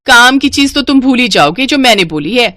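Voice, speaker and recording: A woman voicing a sharp-tongued, sarcastic sister-in-law in a cartoon. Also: on the high side, lively intonation, a little fast, no room sound, clean studio sound, voice pushed up. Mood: scolding. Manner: taunting, bossy.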